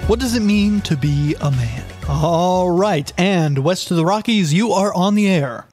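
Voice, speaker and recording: A man's voice: high voice, animated, measured pace, darkish tone, faint music behind. A man playing an exasperated late-night radio dating coach hosting a comedic call-in show. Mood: smooth announcing.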